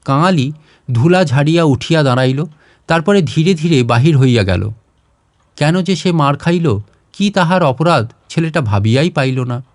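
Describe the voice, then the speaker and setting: Guy reading a literary story audiobook style, slightly high voice, no room echo. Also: animated, normal speed, studio quality. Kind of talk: scripted literary narration, audiobook.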